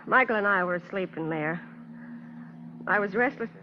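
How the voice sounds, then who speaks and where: An actress playing a distraught, imperious wife fighting for composure, in intense vintage radio melodrama style. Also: female voice, mid-range voice, normal speed, lightly acted. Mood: numb, controlled. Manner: intense, clipped, repeating pleas and demands. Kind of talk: 1950s radio melodrama acting. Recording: muffled, faintly noisy old radio recording.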